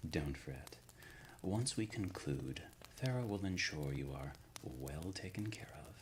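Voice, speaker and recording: A man acts out an ancient, shrewd lord of the supernatural in an intimate ASMR roleplay. The voice is very deep and slow, yet swings expressively in pitch. The phrasing is formal and measured, with courteous authority and a soft, often near-whispered delivery. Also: lively intonation, noisy background. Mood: polite, probing. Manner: formal, measured, erudite wording with deliberate pauses.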